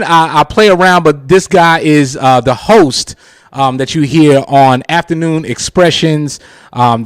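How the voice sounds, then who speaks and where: A man's voice: on the high side, no echo. A man hosting a casual live radio show, with showy introductions, on a close studio mic. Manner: showy introductions with repeated catchphrases.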